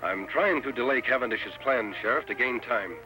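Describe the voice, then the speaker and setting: An American actor playing a steady, commanding masked cowboy hero in an old-time radio western. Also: male voice, mid-range voice, normal speed, lightly acted, low-quality recording. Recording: old radio broadcast with music behind.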